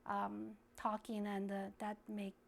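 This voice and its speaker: A woman from China, speaking English as a later language, answering interview questions in a halting way with many restarts. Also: medium pitch, completely flat delivery, vocal fry. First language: Kazakh. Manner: halting, searching for words, frequent restarts. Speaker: guest activist.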